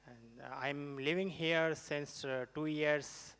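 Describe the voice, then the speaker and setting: A young man with a Pakistani accent answering informally on a student panel, in a halting way with repeated phrases. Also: a little high, steady tone, a bit bright, clear, resonant tone. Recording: reverberant hall over microphone.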